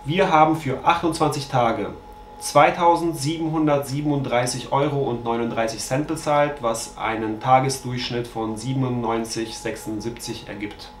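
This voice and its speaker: A man in his thirties, a travel vlogger, calmly going through trip costs to a camera in a bedroom, glancing at his notes. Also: mid-range voice, normal speed, reverberant, slight background noise. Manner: matter-of-fact, reads figures from notes. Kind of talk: scripted cost breakdown to camera. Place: bedroom with mosquito net, indoors.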